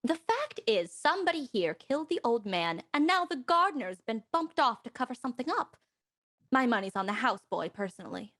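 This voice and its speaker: An actress playing a bold, flirtatious house guest in a period mystery radio play, with a teasing, theatrical manner. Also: female voice, on the high side, sing-song, fairly quick, a bit bright. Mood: pointed.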